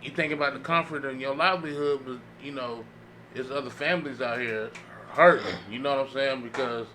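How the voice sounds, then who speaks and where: A man in a casual group discussion, speaking haltingly in slang and often saying you know. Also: mid-range voice, pushed and bright, slight background noise. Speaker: podcast panelist. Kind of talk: casual opinionated group chat.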